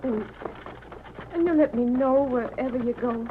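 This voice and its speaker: Casting: devoted young frontier wife, American, higher voice, halting sorrow, vintage radio melodrama, thin noisy recording. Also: female voice. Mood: sad, tender. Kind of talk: 1940s radio melodrama acting. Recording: tinny old radio recording, noisy background.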